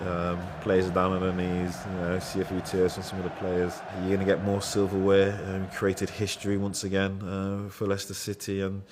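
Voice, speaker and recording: A man's voice: deep voice, completely flat delivery, normal speed, hardly any pauses, noisy background. A man reflecting in a football documentary interview. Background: music.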